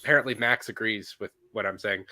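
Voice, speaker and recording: A man co-hosting a casual movie podcast, a little stuffy from allergies, animated and quick to laugh, often restarting his sentences. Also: lowish voice. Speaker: podcast co-host. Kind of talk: casual chat.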